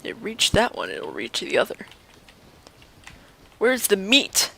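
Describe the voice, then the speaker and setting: A woman's voice: mid-range voice, wildly swooping pitch, takes it slowly, gravelly, fairly bright tone. A woman streaming a video game with casual, playful commentary, chattering to herself and exclaiming as she plays.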